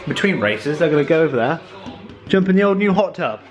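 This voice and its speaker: A man's voice: fairly high pitch, expressive, fairly quick, music in the background. A man with a cold, chatting casually and jokingly to camera in a vlog. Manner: chatty, jokey, rambling. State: congested with a cold. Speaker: vlogger.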